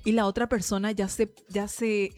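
Female talk show co-host, medium-pitched voice, casual conversational delivery. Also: level tone, normal speed, darkish tone. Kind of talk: casual panel chat.

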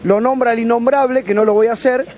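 A man hosts a casual sports chat, animated and easily worked up. His voice is high for a man. The audio is a little muffled, with a faint hiss. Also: fast talker. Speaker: panel host.

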